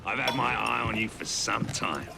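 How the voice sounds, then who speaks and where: An actor playing a flamboyant, theatrical Victorian gang boss in a video game, gravelly and grandiose, savoring each phrase. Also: male voice, lowish voice, moderate pace, over music. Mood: silky, amused.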